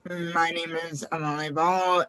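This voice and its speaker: A woman's voice: lowish voice, level tone, a little slow, fairly bright tone, no echo. A woman in her twenties from Connecticut, a youth advisory board member speaking hesitantly and reflectively in a casual panel chat. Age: 20s. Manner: hesitant, reflective, many ums.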